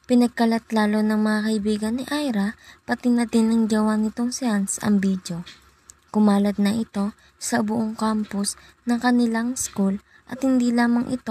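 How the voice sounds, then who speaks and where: Husky, higher-voiced young Filipino woman narrating. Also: dark tone. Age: young adult. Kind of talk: scripted storytelling narration for a student radio drama.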